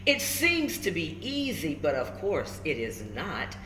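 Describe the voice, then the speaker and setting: A woman reading a children's story aloud in an expressive way, pausing to check in with her listeners. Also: deep voice. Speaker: storybook reader. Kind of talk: animated children's read-aloud with asides to listeners. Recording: roomy echo with faint background noise.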